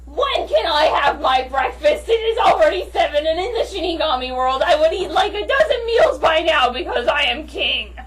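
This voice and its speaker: A woman voicing a greedy, excitable male death-god king in a story, loud and imperious with drawn-out words. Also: sits high, far from the mic, noisy background, voice pushed up. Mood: demanding, loud.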